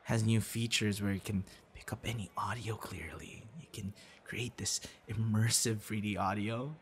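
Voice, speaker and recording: A man's voice: lowish voice, fairly even intonation, moderate pace, a little hiss or hum, close to an everyday voice. A young man acting a teasing, friendly boyfriend figure in an intimate, casual audio roleplay. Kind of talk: ASMR boyfriend roleplay, intimate one-sided dialogue.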